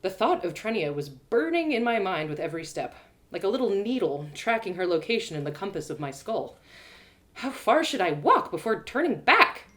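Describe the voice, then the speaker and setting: A woman narrating her own fantasy audio drama in first person, animated and bubbly, with excited exclamations and hushed asides. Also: mid-range voice, moderate pace. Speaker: storyteller and host.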